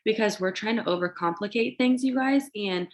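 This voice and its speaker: A woman in her twenties with a stuffy nose from allergies, talking excitedly and rambling a little as she speaks to her sales team on a video call. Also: medium pitch, normal speed. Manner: excited, rambling, addresses "you guys" often. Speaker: direct sales team leader. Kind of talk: motivational video call talk.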